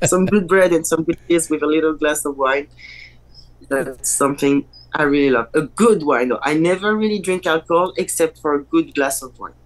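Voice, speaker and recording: A woman's voice: low voice, normal speed, poor audio. A woman in her thirties from France with a French accent, congested from a blocked nose, talking bluntly and laughing often as a guest on a video call. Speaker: guest, pro bodybuilder. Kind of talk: casual interview over video call.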